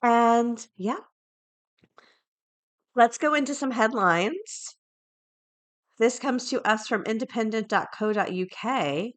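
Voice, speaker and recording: A woman's voice: fairly high pitch, slow, pauses often. A woman hosting a podcast solo, talking casually and chattily, with informal asides and items read aloud. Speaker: podcast host.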